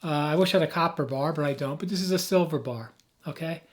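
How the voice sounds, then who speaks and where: A man who has lost his voice, hoarse, giving an emphatic, rambling off-the-cuff tech explanation as a video host. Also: on the high side, fast. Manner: emphatic, rambling, with frequent restarts.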